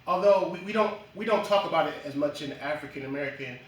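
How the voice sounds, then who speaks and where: A man from Jamaica, a guest in a casual interview, speaking in a measured way with frequent ums and uhs. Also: on the high side. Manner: measured, many ums and uhs, lists achievements. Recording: echoey room from a distance.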